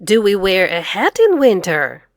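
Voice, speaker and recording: A middle-aged woman reading aloud to children as an English teacher, enunciating each phrase clearly. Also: medium pitch, sing-song, unhurried, dry recording, studio quality. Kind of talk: children's read-aloud lesson.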